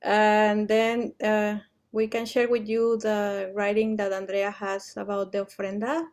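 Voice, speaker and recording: A woman's voice: mid-range voice, monotone, a little slow, a little mellow. A woman organizing an event, talking informally in an online meeting and often feeling for her words.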